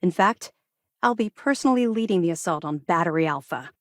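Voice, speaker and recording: Low-voiced, firm woman acting as a space officer. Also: lively intonation, a little fast, dry recording, studio quality, lightly acted. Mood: firm, businesslike. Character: tough, driven female military officer in a space game. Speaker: first mate and mission handler. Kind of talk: video game dialogue acting.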